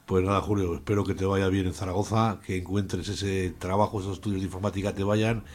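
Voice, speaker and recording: Male, low voice, radio interviewer, informal questioning. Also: level tone, fairly quick, a little mellow.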